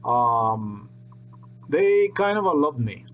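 A man's voice: mid-range voice, big pitch swings, drawn-out words, rough recording. A middle-aged man, rambling earnestly and repeating himself as he talks to his webcam in a small room. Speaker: vlogger. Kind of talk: unscripted monologue to camera. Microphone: webcam at desk.

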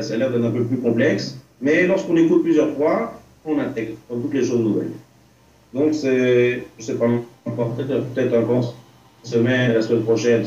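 A man's voice: medium pitch, dark tone. A man, a rabbi giving an informal online religion lesson, hesitant, repeating himself and checking he is understood. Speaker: rabbi teaching a religious class. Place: remote video call. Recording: dull, roomy, distant online-call sound.

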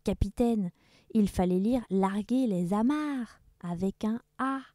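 A woman voicing a patient old male schoolteacher in a children's story, calmly explaining. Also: mid-range voice, slow, dry recording, clean studio sound, close to an everyday voice. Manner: calm explaining.

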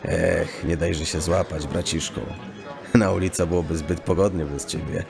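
A man playing a wry, brotherly rogue in an audio drama, with a gravelly voice. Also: low-pitched, fairly even intonation, moderate pace, music in the background. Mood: wry, cautioning. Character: wry, brotherly male criminal companion of thief.

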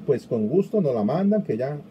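A man's voice: mid-range voice, big pitch swings, normal speed, dull audio, a little hiss or hum. A middle-aged man hosting a live online interview over a video call, chatting easily and reading out viewer comments. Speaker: interview host. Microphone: video call webcam.

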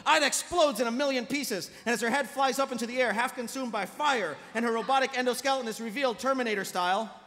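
Fast-talking high-voiced male narrator. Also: sharp tone, slight background noise. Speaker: stage directions reader. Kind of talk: scripted narration at live table read. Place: panel table in large convention hall. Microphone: table microphone. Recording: echoey convention hall.

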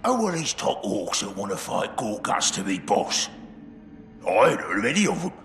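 Gruff cockney ork boss from a video game, brash and warmongering, gravelly shouting, echoey. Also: male voice, on the low side, wildly swooping pitch, normal speed, soft background music, full character voice. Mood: scornful. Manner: blustering, bellowing. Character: brash, warmongering ork warlord hungry to conquer. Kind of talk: video game cutscene acting.

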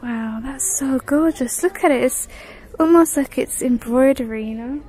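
A woman's voice: high voice, moderate pace, warm tone, breathy edge. A young woman chatting casually as she browses a decor store, reading out prices, filmed on a handheld phone. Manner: chatty, reads out prices, sometimes drops to a whisper. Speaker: vlogger. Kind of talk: casual shop-with-me commentary. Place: inside a large home decor store. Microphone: handheld phone camera.

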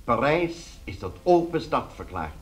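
An actor playing a formal wartime radio news announcer, reading in a measured newsreader manner. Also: male voice, mid-range voice, big pitch swings. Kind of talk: 1970s-80s radio drama acting.

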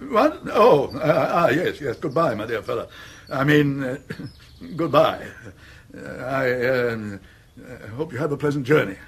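An actor playing a woolly-minded elderly English earl with an upper-class accent, stammering and repeating himself in a radio comedy. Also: male voice, medium pitch, expressive, a little slow, breathy edge. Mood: flustered, dithering. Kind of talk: radio comedy drama acting.

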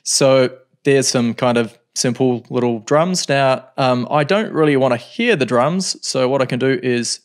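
A man in his thirties teaching music software in a home studio, explaining calmly with frequent ums. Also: mid-range voice, a little slow, hardly any pauses. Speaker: music production tutor. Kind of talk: screen-recorded software tutorial.

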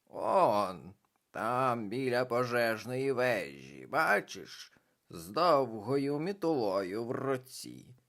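Reader doing a croaking talking raven. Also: medium pitch, big pitch swings, takes it slowly, a bit bright, full character voice. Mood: eager.

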